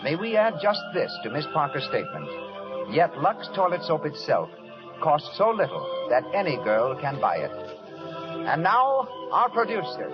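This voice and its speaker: A man's voice: a little high, wildly swooping pitch, normal speed, muffled recording, over music. A man announcing a 1930s radio programme from a script in a formal, declamatory manner, on a vintage recording.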